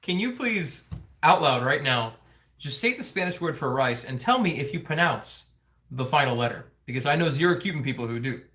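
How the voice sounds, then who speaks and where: A man's voice: fairly high pitch, expressive, normal speed, fairly bright tone. Chatty male livestream host in echoey room. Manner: chatty, joking, engaged with viewers. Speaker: family vlog host. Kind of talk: casual livestream chat. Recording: roomy indoor echo.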